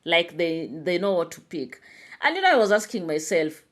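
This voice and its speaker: Chatty woman vlogger, low voice. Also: normal speed. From Kenya. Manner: chatty, animated, with frequent "you know" fillers. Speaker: YouTube commentator. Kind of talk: casual commentary to viewers.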